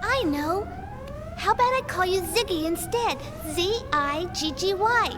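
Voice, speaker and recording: A woman voicing a timid, trusting little girl android in a video game, soft and hesitant in her delivery. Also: extremely high pitch, lively intonation, a little slow. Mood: playful, friendly. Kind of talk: English video game dub acting. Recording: roomy echo, clear background noise.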